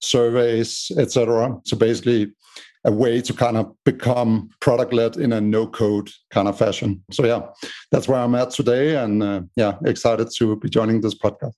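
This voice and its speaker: A man from Denmark with a Danish accent, a startup co-founder answering interview questions in a measured way with many "uh" fillers. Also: mid-range voice, fairly even intonation, dark tone. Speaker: podcast guest, startup co-founder.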